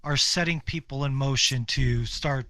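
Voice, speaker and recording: A man's voice: mid-range voice, normal speed, bright, forceful tone, no room sound, studio quality. A middle-aged American man co-hosting a podcast, chatting informally and emphatically about his views. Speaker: podcast co-host. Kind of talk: casual opinionated chat.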